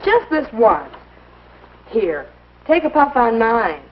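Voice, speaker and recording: A woman's voice: fairly high pitch, sing-song, slow pace. A woman playing a pushy, worldly teenage girl who coaxes her friends, in 1950s instructional film style. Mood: coaxing. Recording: muffled, noisy old film soundtrack.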